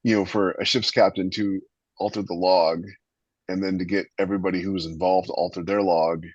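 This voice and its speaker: A man's voice: low-pitched, flat delivery, fairly quick. A man hosting a podcast about writing science fiction, chatting casually about stories. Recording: slightly roomy, dull muffled sound.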